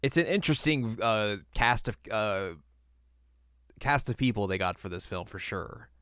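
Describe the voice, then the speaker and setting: A man's voice: on the low side, expressive, moderate pace, fairly bright tone, smooth, no echo, clean studio sound. A man co-hosting a podcast, chatting casually as he reviews films. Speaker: podcast co-host.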